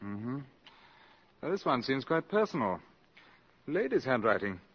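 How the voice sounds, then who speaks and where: An actor playing a suspicious embassy official who probes casually and hesitantly in a 1950s radio drama. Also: male voice, medium pitch, big pitch swings, unhurried, husky. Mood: suspicious, probing. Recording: muffled 1950s radio broadcast.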